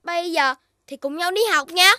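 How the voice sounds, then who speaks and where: Very high, cocky little puppy boy. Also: normal speed, bright, forceful tone, a little hiss or hum. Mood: boastful, excited. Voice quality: childlike. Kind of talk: animated children's cartoon acting. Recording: music behind the voice.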